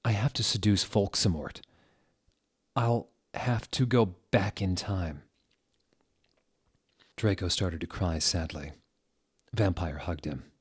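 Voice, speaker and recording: A man giving a mock-serious dramatic reading aloud, deliberate and theatrical, with literal attention to every odd word. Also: sits low, breathy edge. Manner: deliberate, theatrical, reads typos and punctuation out literally. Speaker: dramatic reader of fan fiction.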